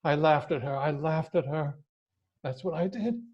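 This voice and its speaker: A man's voice: on the high side, moderate pace, darkish tone, muffled recording, lightly acted. An actor playing a hot-tempered, vengeful gangster henchman in old radio drama, talking in tough-guy slang. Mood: vengeful, unhinged. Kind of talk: 1940s radio drama acting.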